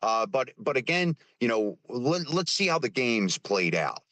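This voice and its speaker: A man in his fifties giving his views on a live stream, halting and restarting with many fillers. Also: medium pitch, big pitch swings, fairly bright tone, no room sound. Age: 50s. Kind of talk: casual opinion talk.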